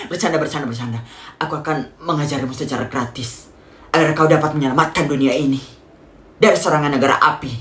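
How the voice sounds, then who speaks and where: A woman's voice: low voice, moderate pace, pauses often, roomy sound, over music. A woman doing a playful comedy parody sketch with joking asides, indoors at home.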